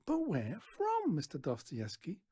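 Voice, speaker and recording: Man voicing a gentle, high-pitched little boy. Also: wildly swooping pitch, unhurried, slightly rough, no echo, studio quality, heavily acted. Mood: puzzled. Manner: soft, earnest, hesitant. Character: small, gentle, earnest young boy, polite and timid.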